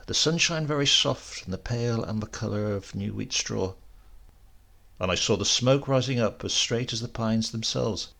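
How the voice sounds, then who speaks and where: A man reading a literary short story as an audiobook narrator, in a measured, expressive way. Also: medium pitch, gravelly.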